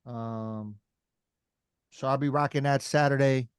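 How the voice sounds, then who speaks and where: A man's voice: mid-range voice, steady tone, a little slow, clean tone, dry recording. Laid-back rapper man, dry close sound. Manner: laid-back, loose talk with many fillers and repeats. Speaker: rapper and podcast guest. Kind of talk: casual podcast chat.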